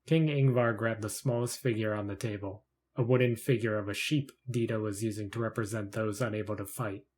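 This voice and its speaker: A man's voice: lowish voice, fairly even intonation, brisk, darkish tone. A man reading scripted narration for a fantasy short story in the third person.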